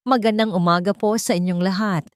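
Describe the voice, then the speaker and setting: A woman's voice: medium pitch, steady tone, a little fast, clear, resonant tone, no echo, studio quality, close to an everyday voice. A woman voicing a determined male boss in an audio storybook drama, speaking firmly and earnestly. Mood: firm, solemn. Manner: firm, earnest, declarative.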